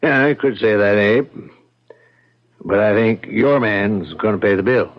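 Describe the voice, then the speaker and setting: A man's voice: fairly low pitch, measured pace, close to an everyday voice. A man playing a seasoned, worldly small-town lawyer in a radio drama, talking in a blunt, knowing, folksy way. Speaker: actor. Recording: muffled radio drama recording.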